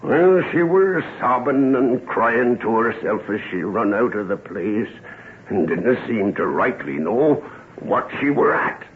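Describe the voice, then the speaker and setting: A tinny, faintly noisy old radio drama recording. a gossipy West Country villager, a man who spins dark tales slowly, with a very animated rise and fall. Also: medium pitch. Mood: grave. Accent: English West Country. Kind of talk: old-time radio drama acting, storytelling.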